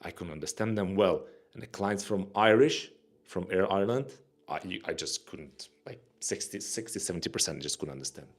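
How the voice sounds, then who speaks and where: A man's voice: sits low, fairly quick. A man from Ukraine with a Ukrainian accent, co-hosting a casual livestream chat, rambling and repeating words as he searches for phrasing. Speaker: co-host.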